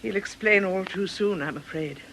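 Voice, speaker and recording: Church confidant for radio play: high-pitched, solemn, discreet and concerned, faint noise behind. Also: male voice, moderate pace, vocal fry, pushed and bright, exaggerated voice, rough recording. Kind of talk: British radio drama acting.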